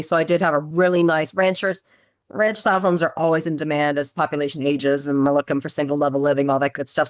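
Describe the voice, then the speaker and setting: Woman agent, chatty storyteller, lower pitch, dry close sound, video call. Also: no room sound. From Maryland, USA. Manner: chatty, animated storyteller, lots of fillers and asides. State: low morning voice. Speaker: real estate agent. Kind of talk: casual meeting chat on a video call.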